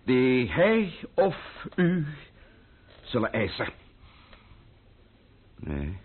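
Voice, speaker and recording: An actor playing a pompous, condescending aristocratic count in a Dutch radio play, mannered and hesitant, with a husky voice. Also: male voice, medium pitch, huge pitch swings, drawn-out words, exaggerated voice. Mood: stiff, rattled. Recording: old muffled narrow-band radio recording.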